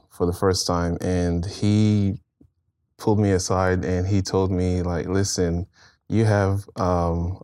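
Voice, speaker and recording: A man's voice: deep voice, darkish tone, no room sound, clean studio sound. A man, speaking hesitantly and reflectively as an interview guest. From Nigeria. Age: 20s. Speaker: guest, art student. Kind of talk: conversational interview.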